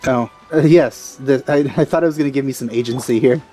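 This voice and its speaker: A man's voice: mid-range voice, fast, warm tone, faint music behind. A man giving casual let's play commentary in a laid-back, hesitant manner. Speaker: let's player.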